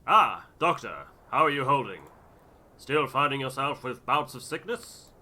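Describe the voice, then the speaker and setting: A man's voice: mid-range voice, big pitch swings, measured pace, bright, forceful tone, voice pushed up, exaggerated voice. A man voicing a hearty, avuncular older traveller in a video game, emphatic and old-fashioned. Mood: hearty, solicitous. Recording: music behind the voice.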